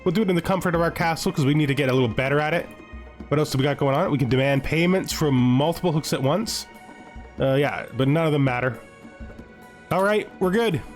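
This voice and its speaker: A man hosting a gaming video with playful commentary, talking to himself in an animated way and often repeating phrases. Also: a little high, fast talker, soft background music. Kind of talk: playful gameplay commentary with a scripted lore narration.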